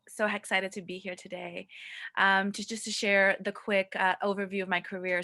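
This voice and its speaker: A woman's voice: lowish voice, sharp tone, no echo, clean studio sound. A woman in her thirties from music publishing, introducing herself on a video call in a gracious, polished way. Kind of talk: casual self-introduction. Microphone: webcam on video call.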